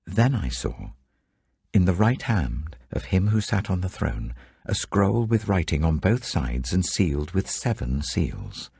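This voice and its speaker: Older guy reading the bible real slow, super deep husky voice, dry close studio sound. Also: very animated, a little mellow, dry recording. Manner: measured, solemn delivery that swells for quoted proclamations. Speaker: Bible reader. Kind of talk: scripted audiobook reading of scripture.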